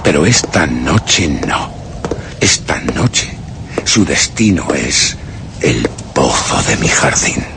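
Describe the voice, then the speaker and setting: A man's voice: low-pitched, vocal fry, heavily acted. A man with a Castilian Spanish accent playing a scheming, brooding murderer who narrates theatrically in a radio suspense drama. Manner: theatrical, literary, ominous, dropping to whispers.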